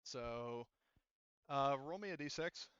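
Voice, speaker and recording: A man acting as game master for a tabletop roleplaying game, narrating casually and haltingly as he thinks aloud. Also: medium pitch, a bit bright, smooth, no echo, highs cut off.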